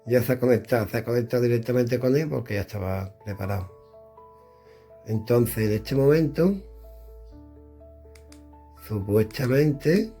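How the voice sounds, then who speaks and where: Mellow-voiced man demoing radio gear. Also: medium pitch, vocal fry. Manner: chatty, thinking aloud while fiddling with devices. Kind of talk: casual product demonstration. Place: indoors.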